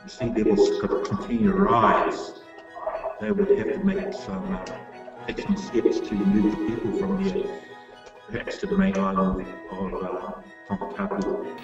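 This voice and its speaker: A man's voice: lowish voice, big pitch swings, a little slow, creaky. A man from New Zealand with Tongan parents, speaking hesitantly in an interview. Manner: hesitant, with fillers. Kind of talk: interview testimony. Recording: dull, roomy, distant, music behind.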